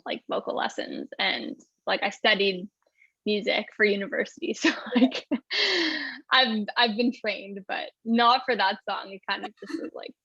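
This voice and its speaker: A woman in her twenties talks breezily about her music, bubbly and quick to laugh, filling every pause with "like." Her voice comes through muffled and lo-fi, with a dead dry sound like a video call. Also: medium pitch, steady tone, a bit bright, slightly rough, no room sound. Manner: bubbly, says "like" constantly, laughs often. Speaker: singer-songwriter guest. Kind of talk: casual interview chat.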